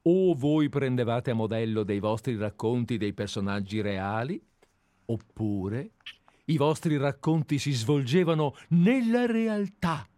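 A man's voice: mid-range voice, lively intonation, measured pace, dark tone, no echo. A man reading aloud as an obsessive, deferential admirer who has tracked a writer for years, in a dramatized radio play reading. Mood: earnest. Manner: earnest, deferential, methodical. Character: obsessive, fawning male admirer-sleuth. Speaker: reader.

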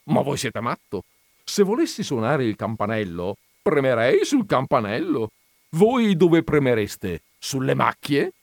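A man voicing a cranky, stubborn gentleman who argues loudly and loses his patience easily. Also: mid-range voice, very animated, unhurried, gravelly, no echo, studio quality, voice pushed up. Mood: indignant. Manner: loud, indignant, argumentative.

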